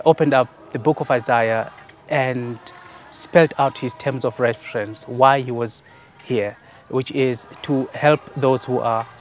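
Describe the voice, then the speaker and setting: A man, a church leader, interviewed outdoors in a garden, calm and reflective. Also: medium pitch. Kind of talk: documentary interview.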